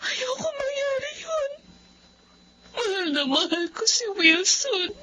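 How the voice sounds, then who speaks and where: A woman's voice: very high-pitched, very animated, slow pace, bright, forceful tone, slight background noise, exaggerated voice. A woman with a Filipino accent acting a guilt-ridden, anguished married woman in an emotional, hesitant radio drama style. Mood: tearful, anguished. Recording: dull radio-drama sound with background music.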